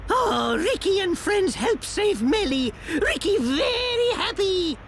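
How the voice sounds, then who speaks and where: A small furry male creature from a video game. The voice is extremely high and swings a lot in pitch. It talks in simple, childlike broken phrases with a cute, cheerful temper. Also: very animated, slow, slight background noise, full character voice. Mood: happy, emotional. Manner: childlike third-person broken speech. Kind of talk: cartoonish video game acting.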